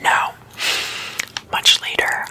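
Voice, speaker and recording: A woman hosting ASMR, whispering a scripted explainer in a breathy, deliberate way with measured pauses between phrases. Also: pushed and bright. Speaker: ASMR host.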